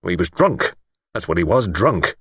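A man voicing a prim, gentle, genteel older lady in an audiobook, speaking politely and properly. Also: low voice, very animated, normal speed, breathy edge, no room sound, clean studio sound, exaggerated voice.

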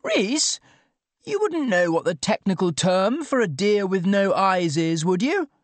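A man's voice: sits high, huge pitch swings, brisk, breathy edge, dry recording, pitched above the performer's own voice, heavily acted. A man voicing a posh, dim, tender-hearted and eagerly earnest young office colleague in an audiobook. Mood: eager, puzzled. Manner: upper-class, gamely enthusiastic, naive. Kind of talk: audiobook character dialogue.

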